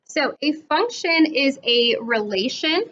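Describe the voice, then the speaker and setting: Bright-voiced woman teacher, lo-fi recording. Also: sits high, animated, takes it slowly. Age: 20s. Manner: explains step by step, checks in with frequent "right?". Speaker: math teacher. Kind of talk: recorded classroom lesson. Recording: dull lo-fi recording.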